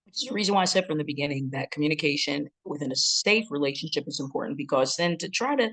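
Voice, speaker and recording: A relationship educator with a deep, booming chest voice, giving a lively instructional talk. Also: fast talker, poor audio. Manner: lively, breaks into acted quotes and laughs.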